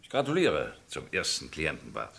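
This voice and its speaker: An actor playing a formal, rather smug law-firm colleague in a 1960s German radio crime drama. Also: male voice, rumbling low, huge pitch swings, normal speed, gravelly, a bit bright, husky, exaggerated voice. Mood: smooth, self-satisfied. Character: polished male law-firm colleague, smoothly congratulating.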